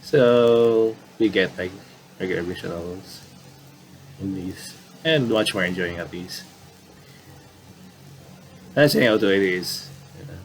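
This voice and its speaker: A man's voice: low voice, takes it slowly, long pauses, a little hiss or hum, poor audio. A man giving casual let's play commentary and reading game text aloud, stumbling and restarting as he reads. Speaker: gaming commentator.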